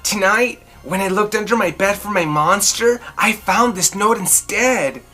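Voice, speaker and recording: A woman storyteller reading a children's picture book aloud expressively, with dramatic, drawn-out emphasis. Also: mid-range voice, sharp tone, soft background music.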